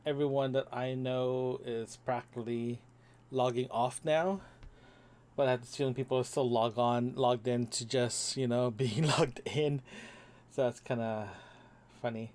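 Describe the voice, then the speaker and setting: A man's voice: medium pitch, steady tone, unhurried, darkish tone. Rambling man talking into a microphone. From Toronto, Canada. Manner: rambling, many ums and restarts. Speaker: podcast host. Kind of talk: casual audio diary. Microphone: single microphone.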